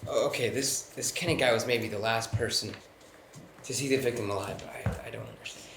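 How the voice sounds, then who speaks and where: A man's voice: on the low side, fairly quick. A man playing a tactful, doubtful junior detective in a live screenplay table read. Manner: hesitant, tactful. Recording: echoey room, clear background noise.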